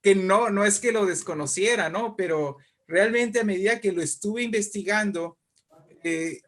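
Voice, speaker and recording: A man talking casually as an invited guest in an interview, talkative and halting, often ending phrases with short tag questions. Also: high voice, a bit bright. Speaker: invited guest, writer and poet.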